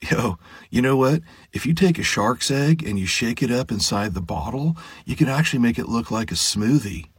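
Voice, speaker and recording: Laid-back surfer professor, played by a male narrator, slangy, lifted pitch, raspy. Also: expressive, normal speed, dry recording, studio quality.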